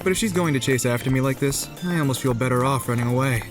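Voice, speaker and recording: A man voicing a wry, apathetic high school boy who narrates his school life in the first person for a visual novel dub. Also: fast, music in the background, close to an everyday voice. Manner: wry, resigned.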